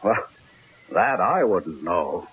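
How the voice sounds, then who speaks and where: An actor playing a gruff, irascible police commissioner with a raspy voice in an old-time radio drama. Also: male voice, sits low, big pitch swings, takes it slowly, heavily acted. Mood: irritated, grudging. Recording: dull old radio recording.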